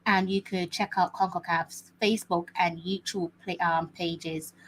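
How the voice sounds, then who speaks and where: A woman's voice: on the low side, level tone, unhurried, slight background noise, rough recording. A woman hosting a live watch-along stream, chatting casually and often repeating her phrases with many fillers. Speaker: host.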